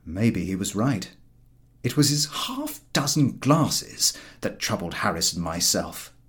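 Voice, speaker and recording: A man reading a humorous story as an audiobook narrator, in a wry, expressive storytelling manner. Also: lowish voice, takes it slowly.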